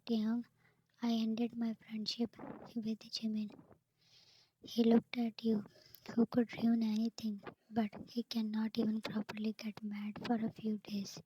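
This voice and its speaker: Woman, soft steady whisper, fan fiction reading, dark mellow tone, flat delivery, faint hiss and clicks behind. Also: on the high side, rough recording. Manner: soft steady whisper, reads dialogue with speaker tags. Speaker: narrator.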